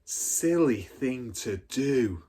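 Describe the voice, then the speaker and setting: A man's voice: medium pitch, lively intonation, drawn-out words, slightly rough, exaggerated voice. Man as a knowing, scornful schoolgirl. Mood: hushed scorn. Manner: hushed, murmuring. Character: knowing, scornful schoolgirl whispering commentary to friends.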